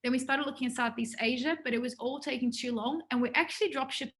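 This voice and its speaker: High-voiced woman teaching a business workshop. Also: fast talker, a bit bright. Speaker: entrepreneur giving a workshop. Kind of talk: informal business workshop talk to students.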